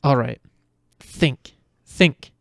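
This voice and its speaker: A man's voice: medium pitch, drawn-out words, vocal fry, fairly bright tone, no echo, clean studio sound, exaggerated voice. A man voicing an anxious but determined, sarcastic teenage girl adventurer who talks to herself in an audio fan fiction. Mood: pondering. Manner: wry, talking to self.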